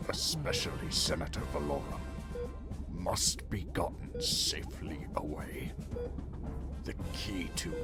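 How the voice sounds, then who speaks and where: A man voicing a commanding, solemn old Jedi master with grave, halting delivery in a fan comic dub. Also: extremely low pitch.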